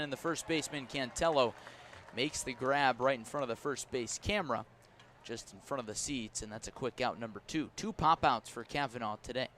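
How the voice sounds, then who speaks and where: A man calling live baseball play-by-play for radio from an outdoor ballpark, in measured, descriptive short phrases. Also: mid-range voice, fairly bright tone, slight background noise. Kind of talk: live radio sports commentary.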